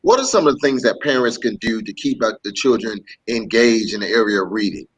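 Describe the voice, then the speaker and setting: A man's voice: on the low side, lively intonation, a little fast, fairly bright tone, slightly rough, low-quality recording. Man hosting a casual interview. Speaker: host.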